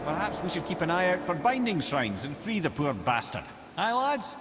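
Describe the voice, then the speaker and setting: A witty old Scottish counselor from a video game. He tells tales with wry, eloquent flourish and a very lively, swooping pitch, with clear ambient noise behind him. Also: moderate pace. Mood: wry, compassionate. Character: witty, wry old counselor, a well-travelled talking head. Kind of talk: video game character dialogue.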